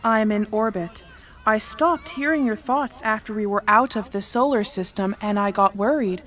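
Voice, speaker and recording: A woman voicing an obliging, self-aware spaceship, calm and matter-of-fact, in a sci-fi audio drama. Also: medium pitch, moderate pace, close to an everyday voice. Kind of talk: sci-fi audio theatre acting.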